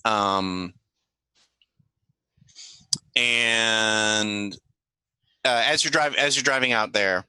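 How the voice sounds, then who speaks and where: A man acting as game master, improvising narration for a tabletop game in an informal, halting way. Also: fairly low pitch, bright, forceful tone, smooth, no room sound.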